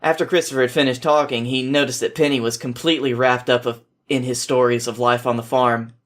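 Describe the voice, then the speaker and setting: A man's voice: medium pitch, little pitch movement, fairly quick, a bit bright. A man reading a story aloud in an informal way, now and then stumbling over a word. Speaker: podcast host reading fan fiction.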